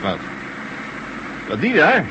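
Over clear engine noise comes a brash truck driver in a radio play. His voice sits fairly high, and he talks in a chatty, jocular, down-to-earth way, like a familiar workmate. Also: wildly swooping pitch, takes it slowly, dull audio, exaggerated voice. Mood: brash, teasing. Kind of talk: radio drama acting. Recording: noisy old radio play recording.

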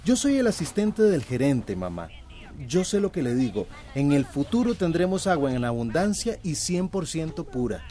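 Actor as an upbeat high-voiced grown son. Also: male voice, sing-song, moderate pace, warm tone. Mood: dismissive, assured. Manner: upbeat, reassuring. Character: optimistic adult son, proud company assistant. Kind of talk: radio soap opera acting.

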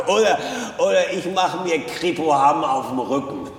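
An actor playing an erratic, self-important police detective in a radio drama, halting, theatrical and insistent, in an echoey space away from the microphone. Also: male voice, fairly high pitch, lively intonation, fairly bright tone, far from the mic, slight background noise. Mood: conspiratorial, gleeful. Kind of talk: German radio drama acting. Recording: echoey, reverberant, off the mic.

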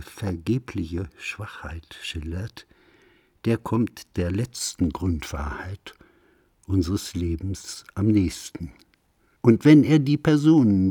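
A man reading a literary essay in a measured, grave, declamatory manner, like a solemn radio recitation. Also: low voice, dark tone, breathy edge, dry recording, studio quality.

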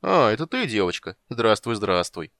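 An ancient male wizard, grave and measured, slipping between sternness and forgetful kindness, read by a man narrating the book. The voice sits low and plain, recorded close and dry with no room around it. It unhurriedly weighs every word. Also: big pitch swings, fairly bright tone, dry recording. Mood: genial.

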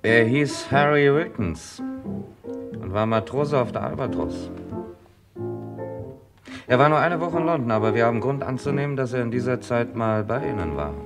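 A man playing a calm, insistent police inspector in a German radio crime drama, dry and clipped. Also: mid-range voice, normal speed, long pauses, natural voice. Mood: probing. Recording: vintage radio play, music behind.

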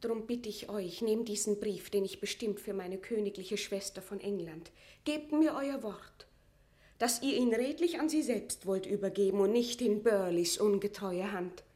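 A woman's voice: mid-range voice, moderate pace, warm tone, close to an everyday voice. An actress playing a proud, aggrieved imprisoned queen, dignified and imperious, with formal declamatory diction in a classical German verse radio drama. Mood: proud, pleading.

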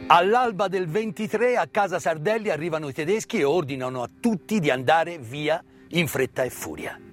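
A man giving a dramatic theatrical narration of a wartime story, measured and emphatic, with pauses and hushed phrases. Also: fairly high pitch, lively intonation, faint music behind. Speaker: stage actor and storyteller. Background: live musical accompaniment.

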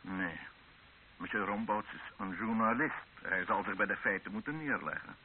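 Stern actor as an army captain, narrow old radio sound. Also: male voice, fairly low pitch, close to an everyday voice. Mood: stern, forceful. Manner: formal, authoritative, deliberate. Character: proud, embattled male army captain, stern town commander. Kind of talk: radio drama dialogue. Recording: dull narrow-band old radio recording with hiss.

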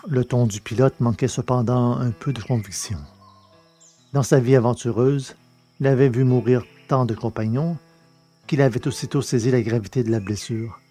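Man reading a novel aloud, steady. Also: mid-range voice, normal speed, soft background music. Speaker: audiobook narrator. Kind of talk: scripted narration of a science-fiction novel.

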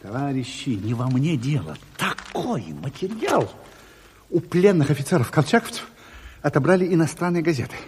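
An actor playing an earnest, courteous, ailing revolutionary in an audio drama, husky and deliberate in speech. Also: male voice, a little high, very animated, a little mellow, slight background noise. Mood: grave, urgent. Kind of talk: Soviet audio drama acting. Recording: reverberant studio hall.

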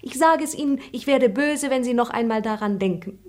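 Brief: a woman as a bright-voiced young lady, teasing and playful, radio play. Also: a little high, expressive, brisk, natural voice. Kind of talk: radio drama acting.

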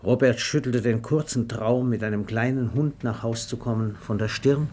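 A man's voice: mid-range voice, normal speed, a little mellow. A man narrating a literary radio play in a scripted storytelling style.

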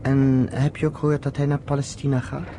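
An actor playing an earnest, persuasive storyteller in a radio drama. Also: male voice, medium pitch, flat delivery, a little fast, noisy background, lightly acted. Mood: inviting. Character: earnest storyteller, a persuasive, companionable Zionist man.

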